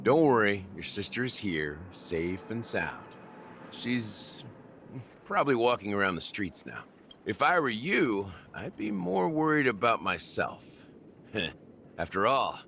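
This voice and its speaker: A man's voice: lowish voice, big pitch swings, measured pace, a bit bright, slight background noise, full character voice. A man voicing a cocky, teasing schemer in a video game, with a sardonic, persuasive manner. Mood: smug. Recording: music behind the voice. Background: background music.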